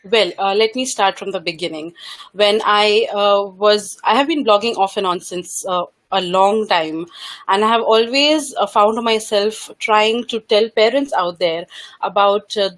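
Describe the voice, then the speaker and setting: A woman's voice: mid-range voice, a little slow, fairly bright tone. A woman in her thirties from Kuwait, a parenting blogger talking as a guest in a casual interview, eager and full of "uh" fillers as she restarts her sentences. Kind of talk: casual interview over a call.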